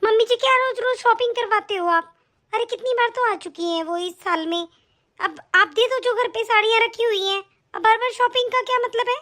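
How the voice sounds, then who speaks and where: A woman's voice: very high voice, a little fast, a bit bright. A woman as an indignant young daughter-in-law. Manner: indignant, argumentative. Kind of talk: family skit acting.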